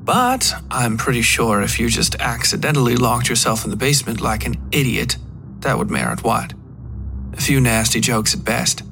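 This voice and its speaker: A man voicing a menacing, creaky-voiced housewife. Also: medium pitch, expressive, moderate pace, fairly bright tone, faint music behind. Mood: coolly coercive. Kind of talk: dark fiction audiobook reading.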